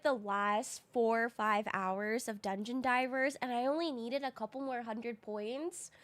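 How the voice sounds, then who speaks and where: A high, slightly groggy woman's voice you would hear on a laid-back game stream, chatting informally with viewers soon after waking. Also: fairly bright tone. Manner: slangy, informal. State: groggy voice, recently woken. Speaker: live streamer. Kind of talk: casual gaming stream chat.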